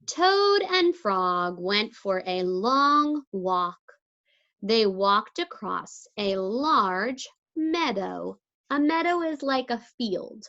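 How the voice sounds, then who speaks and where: A woman teacher reads a children's story very slowly and deliberately. Her voice is bright and swings widely in pitch. The recording is slightly dull and lo-fi. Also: sing-song. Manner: deliberate articulation, asks listeners questions. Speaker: teacher reading aloud to young children. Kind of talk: expressive storybook read-aloud.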